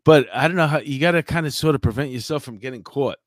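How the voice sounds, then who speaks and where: A man co-hosting a live chat show, bantering and telling stories in colloquial, animated everyday speech. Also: mid-range voice, fast, no room sound, clean studio sound. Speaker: talk show co-host.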